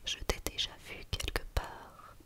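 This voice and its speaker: A woman's voice: a little slow, sharp tone. A woman voicing a self-assured, kind little girl from a storybook, whispering in a teasing, matter-of-fact way.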